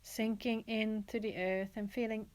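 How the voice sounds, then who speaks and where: A woman teaching yoga nidra, guiding a relaxation in a gentle, soothing manner with pauses and repeated, inviting phrases. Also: mid-range voice, level tone. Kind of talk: guided relaxation meditation.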